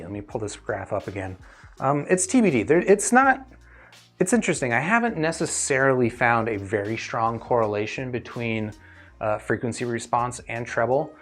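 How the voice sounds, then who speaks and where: A man hosting a casual livestream as a gear reviewer, chatting with viewers in a halting, think-aloud way. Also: medium pitch, a bit bright. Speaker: host, audio gear reviewer.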